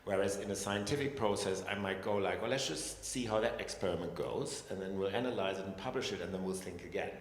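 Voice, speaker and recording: A man with a lowish voice thinks aloud on a panel, hesitant and searching, often restarting his sentences. The sound is roomy with a long hall reverb and a faint hum of ambience behind. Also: quick pace, hardly any pauses. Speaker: scientist and panelist. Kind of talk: reflective panel talk. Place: large echoey hall.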